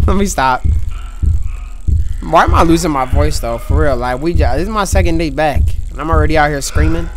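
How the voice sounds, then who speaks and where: A young man commentating a horror game in a loud, excitable, trash-talking way, his voice going hoarse, recorded on a headset mic at a home setup. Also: on the high side, brisk, noisy background. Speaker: gaming streamer.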